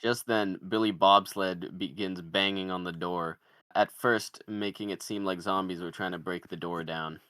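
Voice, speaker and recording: A man's voice: low voice, steady tone, normal speed, fairly bright tone, smooth, no echo. A man narrating, reading out the stage directions of a screenplay.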